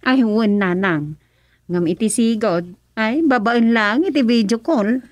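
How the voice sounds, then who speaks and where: A woman playing a village daughter with firm opinions and an Ilocano accent. Her voice sits a little high and close, and she speaks earnestly in conversational radio-drama style. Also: measured pace, no room sound, close to an everyday voice. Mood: explaining.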